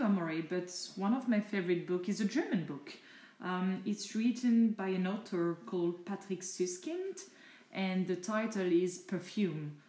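A middle-aged woman from France with a French accent, speaking English rather than her native French, giving chatty, thoughtful answers with searching pauses in a casual home interview. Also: medium pitch, mellow, echoey room. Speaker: interviewee, mother of the host.

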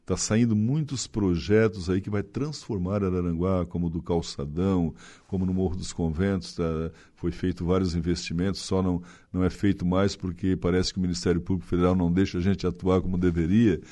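Middle aged guy, kinda low voice, light gaucho accent, city official rambling on earnestly in an interview. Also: fairly even intonation, brisk, mellow. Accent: slight gaúcho. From Santa Catarina, Brazil. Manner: long-winded, earnest, frequent tag questions. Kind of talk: conversational interview.

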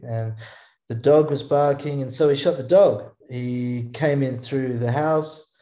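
An Australian man, a songwriter guest on a podcast, telling stories about his past in a halting, rambling way. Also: medium pitch, dark tone. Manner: rambling, halting, restarts often. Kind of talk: casual interview storytelling. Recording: echoey room.

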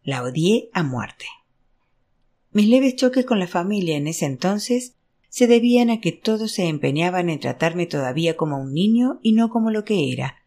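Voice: mid-range voice, darkish tone. A narrator reading literary fiction aloud as an audiobook, in a scripted storytelling style. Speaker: audiobook reader.

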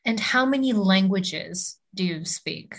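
A woman podcast host asks her questions at a slow, deliberate pace, with a mid-range pitch. She encourages her guest with short murmurs of agreement. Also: animated, gravelly. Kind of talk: English-learning podcast interview.

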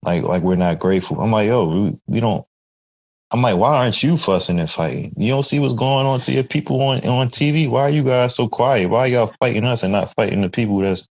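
A man in a casual podcast conversation, telling a story in an animated way and acting out the quotes. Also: mid-range voice, brisk, muffled recording.

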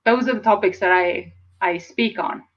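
Woman in her 40s, Estonian accent, measured and reflective, slightly muffled lo-fi audio. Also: on the low side, crowd noise. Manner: measured, reflective, slows to stress key words. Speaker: guest, speaker and trainer. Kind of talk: casual interview chat. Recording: slightly dull lo-fi sound.

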